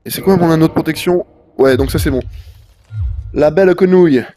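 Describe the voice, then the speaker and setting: A man's voice: on the high side, a little fast, warm tone, faint music behind. Stuffy-nosed young man streaming games. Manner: rambling, slangy, frequent fillers. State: stuffy with a head cold. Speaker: live-streaming gamer. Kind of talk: casual livestream chat.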